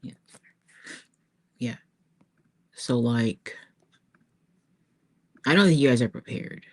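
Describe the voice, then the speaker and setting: A woman artist chatting informally to her viewers as she works, halting and thinking aloud. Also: a bass voice, animated, darkish tone. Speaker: author-artist hosting a creative livestream. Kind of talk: casual chat to viewers while working on art.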